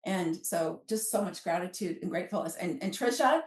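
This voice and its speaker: A woman's voice: fairly low pitch, expressive, brisk. Echoey, effusive American woman in her fifties. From Alaska, United States. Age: 50s. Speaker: podcast guest. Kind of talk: casual interview storytelling. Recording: roomy, echoey sound.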